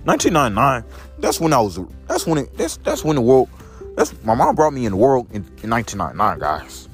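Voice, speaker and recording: A deep-voiced man in his twenties from Illinois, vlogging outdoors in the cold in a rambling, repetitive monologue to his viewers. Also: huge pitch swings, fast, faint music behind. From Illinois, USA. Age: 20s. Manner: repetitive, restates lines in several persons.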